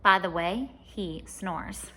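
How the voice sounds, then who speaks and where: A woman's voice: fairly low pitch, takes it slowly, bright, forceful tone, heavily acted. A woman voicing a grumbling tooth fairy who complains in a confiding, matter-of-fact way, in a storybook reading.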